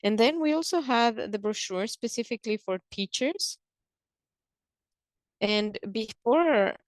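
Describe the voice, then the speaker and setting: Slow-paced woman giving a presentation. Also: medium pitch, dry recording. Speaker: presenter on a conference call. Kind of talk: explanatory slide presentation, halting delivery.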